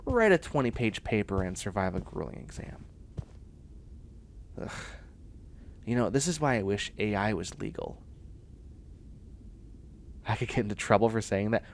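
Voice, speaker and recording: A man performs a cocky, nerdy young bioengineer in a sci-fi comedy roleplay. His low voice swings widely in pitch as he chatters and makes snarky asides, with faint noise behind him. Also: exaggerated voice. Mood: grumbling. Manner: chatty, snarky, boastful, muttering asides and exclamations. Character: nerdy, self-assured young male bioengineering student in sci-fi future. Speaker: voice actor in audio roleplay. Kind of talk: comedic one-sided audio roleplay.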